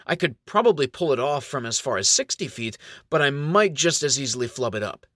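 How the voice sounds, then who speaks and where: A man's voice: lively intonation, a little fast, a bit bright, no echo, clean studio sound. A man voicing a skinny, boastful male elf magician in a raised, theatrical voice. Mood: eager. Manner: high, eager, theatrical.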